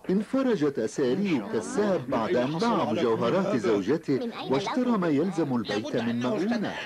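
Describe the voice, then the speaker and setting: A man's voice: medium pitch, sing-song, a little fast, over a noisy crowd. A man narrating a scripted cartoon tale in a formal, dramatic storytelling manner.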